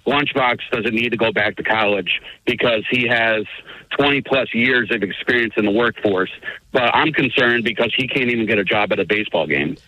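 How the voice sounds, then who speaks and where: A man's voice: mid-range voice, pushed and bright. A man calling in to a radio show, speaking hesitantly with many fillers. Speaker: caller. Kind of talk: phone call to a radio show. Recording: muffled phone line.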